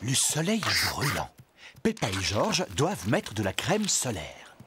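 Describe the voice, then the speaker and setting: A man narrating a children's cartoon in a gentle storybook tone. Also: mid-range voice, huge pitch swings, unhurried, very noisy. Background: cartoon music.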